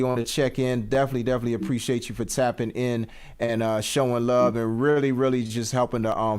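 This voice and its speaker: Effusive man hosting a casual livestream. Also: mid-range voice. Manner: effusive, repeats greetings and thanks. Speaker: show host.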